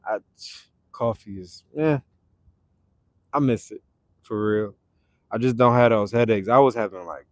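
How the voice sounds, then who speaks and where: A man's voice: on the low side, dry recording. Laid-back man chatting on a livestream. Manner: laid-back, repeats phrases, reads chat aloud. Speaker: livestream host. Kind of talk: casual live chat with viewers.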